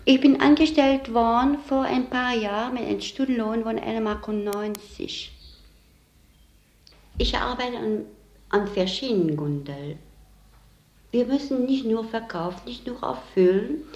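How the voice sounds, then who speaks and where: A woman's voice: a little high, unhurried, darkish tone. A saleswoman giving plain spoken testimony about working life in an interview. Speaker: department store saleswoman. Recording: roomy, dull lo-fi interview tape.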